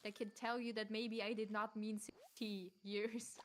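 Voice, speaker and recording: This is a woman with a German accent, speaking English as her second language. She's a streamer in a loose, animated chat, laughing often and swearing casually. Her voice sits at an ordinary middle pitch. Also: unhurried, slight background noise.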